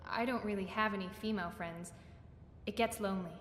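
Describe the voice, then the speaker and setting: A woman's voice: medium pitch, steady tone, brisk, a bit bright, natural voice. A woman playing an eager, awkward, bookish young woman in a fantasy video game, gushing and rambling as she corrects herself. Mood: thoughtful. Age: young adult. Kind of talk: video game character acting. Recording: echoey hall, faint background noise.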